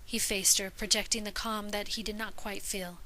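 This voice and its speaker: A woman's voice: mid-range voice, little pitch movement, normal speed, pushed and bright, slight background noise. A woman reading fiction aloud as a scripted story narration. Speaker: podfic reader.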